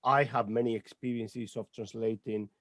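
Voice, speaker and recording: A man's voice: on the low side, fairly even intonation, moderate pace, a bit bright, no echo, studio quality. A man from Spain with a Spanish accent, a poet and educator speaking on an online panel in a hesitant way, with many filler sounds, over a video call. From Lorca, Murcia, Spain.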